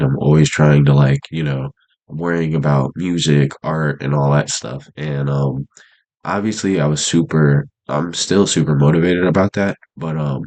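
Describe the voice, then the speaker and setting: A young man with an extremely deep voice and a stuffed-up, congested nose talks casually to his camera. His speech is loose and rambling, packed with slang and fillers, in an unscripted vlog monologue. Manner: laid-back, rambling slang talk with many fillers. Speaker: vlogger. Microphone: camera mic.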